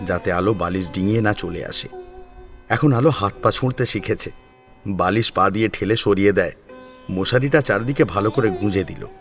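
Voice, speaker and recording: A man narrating a story as a dramatic audio storyteller, in an expressive, suspenseful manner. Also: fairly low pitch.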